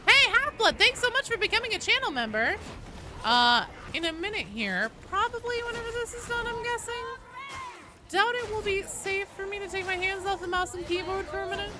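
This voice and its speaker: A woman's voice: extremely high pitch, animated, fairly quick, background noise. A woman live streamer chatting casually and wryly with her viewers while she plays a game. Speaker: live streamer hosting gameplay.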